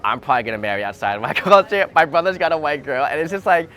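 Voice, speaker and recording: A man from the United States talking through his views in a relaxed conversation, hesitant and repeating words as he thinks aloud, recorded outdoors. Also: a little high, fast talker. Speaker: interviewee. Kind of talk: thoughtful casual conversation. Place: outdoors in a city.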